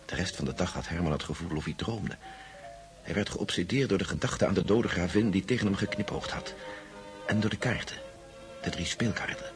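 A low-voiced man narrates gravely, as one who was there. It is dramatic radio play storytelling with faint music around it. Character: Pavel, grave male friend recounting a ghostly tale.

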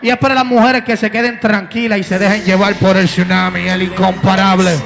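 A Puerto Rican man with a Puerto Rican accent, performing reggaeton and shouting out to the crowd between verses. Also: sits high, little pitch movement, brisk, vocal fry. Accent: Puerto Rican Spanish. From Puerto Rico. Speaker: reggaeton singer. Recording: loud backing music.

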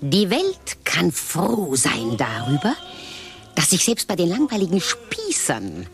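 An actress playing a wry, worldly woman who speaks calmly about fate and wishes, in a radio play. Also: lowish voice, slow pace, exaggerated voice. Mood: measured, wry. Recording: radio play with music behind.

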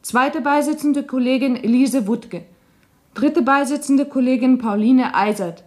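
A ceremonial club chairwoman in a radio drama. Her voice is high and she proclaims each item formally, as if officiating at a meeting. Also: measured pace, darkish tone. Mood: ceremonial, proud. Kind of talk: radio play, formal announcement.

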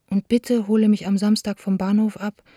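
An actress playing a young woman theatre director in a radio play, reading her letters aloud in a hushed, intimate, understated manner. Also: mid-range voice, flat delivery, moderate pace, no echo, studio quality, natural voice. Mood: tender, pleading.

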